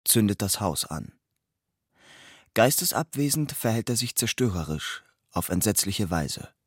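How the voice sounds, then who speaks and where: Male narrator, low register, measured literary delivery, very dry recorded sound. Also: sing-song, slightly rough, no echo. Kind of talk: literary narration, quoting and storytelling.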